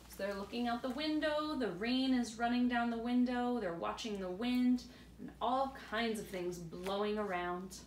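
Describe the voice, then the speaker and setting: A woman in her thirties reads a storybook aloud to children as a teacher, pausing to explain things in simple words. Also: a little high. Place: indoor room beside a large glass door. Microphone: camera a few feet away. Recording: roomy, distant camera mic with faint noise.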